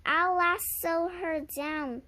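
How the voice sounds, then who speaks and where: A woman's voice: very high voice, fairly even intonation, extremely slow, pushed and bright, voice pushed up, full character voice. A young girl reading the part of a confident, gallant cowboy hero in a storybook tall tale, bold and folksy.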